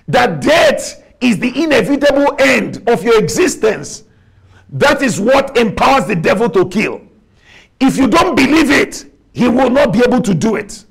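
A man preaching a sermon with a Nigerian accent, emphatic and repetitive, spelling out words and rising to shouts. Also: very high voice, creaky, slightly rough. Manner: rises to shouts. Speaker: pastor.

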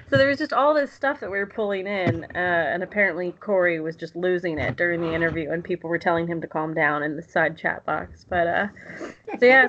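A woman's voice: on the low side, pushed and bright, dull audio. A woman from the United States, talking casually and enthusiastically as an interview guest, rambling with frequent ums. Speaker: guest, literature lecturer.

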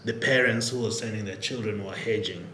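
A man from Nairobi, Kenya, telling his story as a podcast guest in a relaxed, reflective way, laughing easily. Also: fairly low pitch, a little fast, slight background noise. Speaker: podcast guest, startup executive. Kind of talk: casual interview storytelling. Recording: roomy sound with faint ambience.

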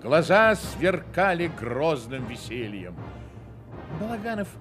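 A man's voice: on the high side, sing-song, slow pace. A man narrating a literary radio play in a theatrical, wry manner. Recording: radio play with music behind.

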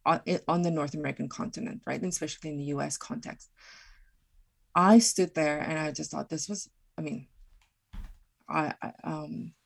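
Low gravelly-voiced reflective woman. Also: steady tone. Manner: halting, reflective, many fillers and restarts. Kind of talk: casual podcast conversation.

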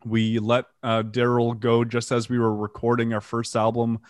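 A man's voice: medium pitch, completely flat delivery, moderate pace, a little mellow, no room sound, studio quality. French Canadian man chatting loosely. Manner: rambling with fillers, self-deprecating, swears casually. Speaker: band vocalist and guitarist. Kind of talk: casual interview, storytelling.

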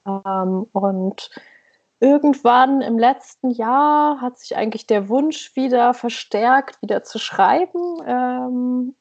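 A woman's voice: on the high side, expressive, slow pace, darkish tone, dull audio. A woman chatting hesitantly as an interview guest over an internet call, often searching for words. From Germany. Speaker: podcast guest, emigrant blogger. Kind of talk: casual conversational interview.

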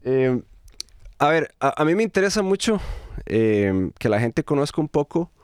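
A man's voice: on the high side, big pitch swings, measured pace, darkish tone, smooth, no room sound, studio quality. Hesitant moderator, man. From Costa Rica. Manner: searching, hesitant questions. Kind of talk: panel discussion.

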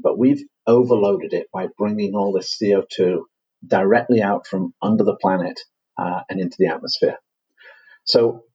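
A man with a Manchester accent, a guest on a podcast interview, talking in a measured way with frequent fillers and restarts. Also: deep voice, a little mellow, husky, dull audio. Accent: Manchester English. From England. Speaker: guest, company CEO.

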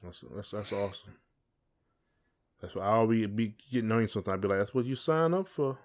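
A man's voice: fairly low pitch, brisk. A man hosting a casual podcast chat, talking in an informal, colloquial way. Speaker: podcast host.

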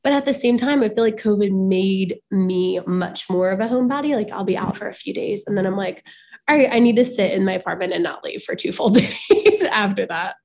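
A young woman in her twenties co-hosting a podcast, a little raspy and sniffly from a cold, chatting and laughing through run-on stories. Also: medium pitch, a little fast, a little mellow. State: slightly hoarse and sniffly from a cold. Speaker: podcast co-host. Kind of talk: casual chat.

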